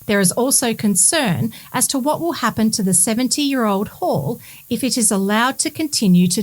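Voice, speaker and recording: A woman reads a local news story from a script. Her voice sits at a middle pitch, and her delivery is measured and formal, suited to a community bulletin. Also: low-quality recording. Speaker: reader of community bulletin stories. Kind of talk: scripted news narration, read aloud.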